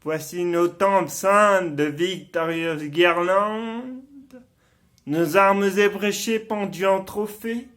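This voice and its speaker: A bitter, misshapen nobleman schemes in a Shakespearean stage monologue. His speech is extremely slow and deliberate, declaimed with theatrical weight and villainous intent. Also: high voice, fairly bright tone, full character voice. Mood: grandly ironic. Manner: declamatory, deliberate, dramatic delivery.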